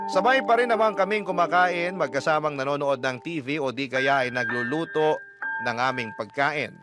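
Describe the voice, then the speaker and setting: Actor as earnest young Filipino man, highish voice, confiding radio drama narration, loud music behind. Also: close to an everyday voice. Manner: measured, confiding storytelling. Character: earnest, devout young man recounting his troubled romance. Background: loud background music.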